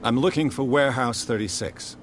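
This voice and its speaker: A man's voice: medium pitch, fairly quick, a little hiss or hum, close to an everyday voice. A man playing a serious investigator in a video game, terse and formal.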